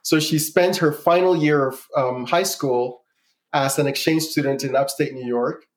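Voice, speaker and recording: A man's voice: fairly high pitch, steady tone, moderate pace. A man in his forties from Ghana, telling his life story as a guest in a casual interview and often repeating words.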